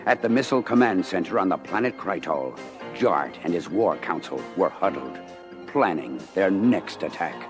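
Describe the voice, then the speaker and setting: Deep, dramatic male narrator. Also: lively intonation, moderate pace. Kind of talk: dramatic scripted storytelling. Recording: music bed under voice. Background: music.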